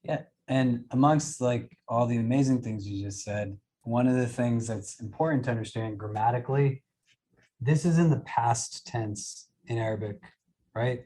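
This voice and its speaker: A man teaching over a video call in a relaxed, conversational way. He keeps checking that his listeners follow, often asking "right?" as he explains. His voice sits at a middle pitch. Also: moderate pace, poor audio. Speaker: imam leading a class. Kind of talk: casual teaching discussion.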